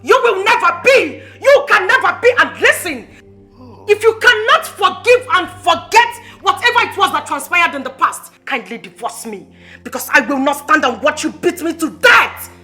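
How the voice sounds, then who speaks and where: An actress with a Nigerian accent playing a defiant, fed-up wife in a melodramatic film, forceful and emphatic. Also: female voice, extremely high pitch, lively intonation, moderate pace, faint music behind, full character voice. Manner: forceful, emphatic, talks back.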